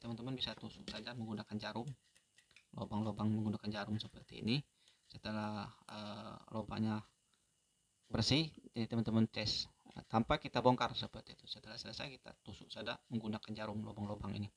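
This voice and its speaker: A man giving an unhurried, step-by-step phone repair tutorial, pausing often with fillers. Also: lowish voice, flat delivery, gravelly, slightly rough.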